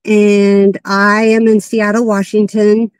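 A woman's voice: mid-range voice, level tone, slow pace, smooth, no echo, clean studio sound. A woman in her fifties from Idaho, earnestly introducing herself as a guest on a video call. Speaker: panel guest. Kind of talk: casual self-introduction.

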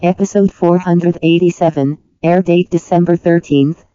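Very mellow dark tone, smooth announcer, scripted intro, muffled lo-fi recording. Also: mid-range voice, steady tone, moderate pace, dry recording. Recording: dull, band-limited recorded intro.

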